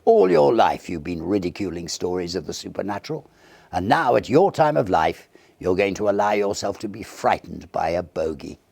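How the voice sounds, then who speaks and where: A man playing a sceptical Victorian gentleman doctor, chiding in a pompous, scoffing way in a storybook reading. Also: low voice, very animated, normal speed, creaky, no room sound, heavily acted. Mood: self-reproach.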